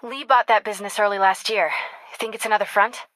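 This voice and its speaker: An actress with an American accent playing a caring, determined young reporter in a video game, speaking in an earnest, conversational, confiding way. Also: female voice, medium pitch, fairly even intonation, fairly quick, fairly bright tone, no echo, close to an everyday voice, rough recording. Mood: focused. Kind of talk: naturalistic video game acting.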